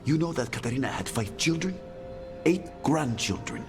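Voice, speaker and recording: An actor playing a bitter, menacing assassin in a video game, talking in an insinuating, condescending way. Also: male voice, medium pitch, sing-song, moderate pace, music in the background. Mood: bitter, warning. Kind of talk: video game character acting.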